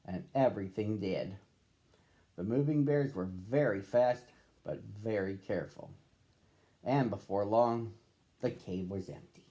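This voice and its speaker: A man reading a picture book aloud to children as a storyteller, with expressive phrasing and drawn-out words. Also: fairly low pitch. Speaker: storybook reader.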